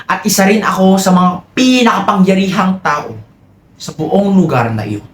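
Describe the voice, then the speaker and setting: A man's voice: high-pitched, lively intonation, moderate pace, fairly bright tone, a little hiss or hum. Man acting a pompous, volatile colonial lieutenant, echoey room. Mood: boastful. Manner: boastful, demanding, theatrical. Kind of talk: theatrical dramatic monologue.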